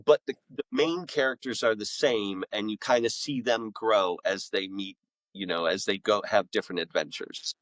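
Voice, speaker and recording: A man's voice: on the low side, normal speed, fairly bright tone, dry recording, rough recording. Casual man chatting as interview guest. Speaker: interview guest, author and audio drama maker.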